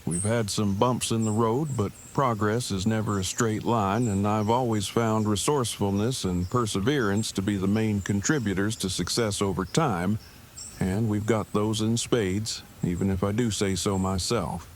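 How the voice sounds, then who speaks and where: Audio drama role: brainy, awkward male engineer voiced by a man, lowish pitch, stilted formal wording, light background noise. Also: moderate pace, close to an everyday voice. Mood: stiff, measured. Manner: verbose, stilted, formal phrasing. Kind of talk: fan audio drama dialogue.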